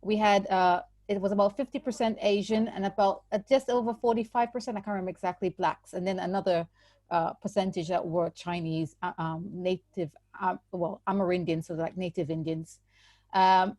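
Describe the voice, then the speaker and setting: A woman, giving a measured, explanatory personal account as a guest. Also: mid-range voice, warm tone, no room sound. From Trinidad and Tobago. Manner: measured, explanatory, qualifies her points. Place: church recording.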